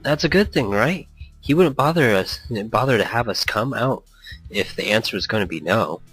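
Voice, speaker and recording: A man's voice: fairly low pitch, expressive, moderate pace, fairly bright tone, husky, poor audio. Man acting male visual novel teammate, laid-back, reassuring, easygoing, faint music. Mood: hopeful.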